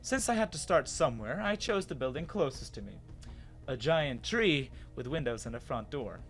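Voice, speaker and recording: A man giving an expressive, dramatic first-person reading of a story as its narrator. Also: mid-range voice, moderate pace. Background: music.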